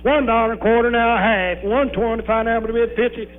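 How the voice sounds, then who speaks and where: A high-pitched man works an auction in a rural southern Indiana accent. He is brash and folksy. The sound is a dull 1960s film track. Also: steady tone, quick pace. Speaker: country auctioneer. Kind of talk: auction sales pitch and bid chant. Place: country auction barn.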